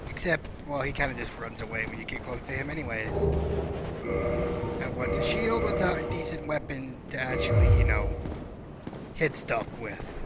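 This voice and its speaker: A man's voice: mid-range voice, expressive, normal speed. A man giving casual, unscripted let's play commentary in an offhand, dryly sarcastic way. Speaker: gameplay commentator. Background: loud game music and sound.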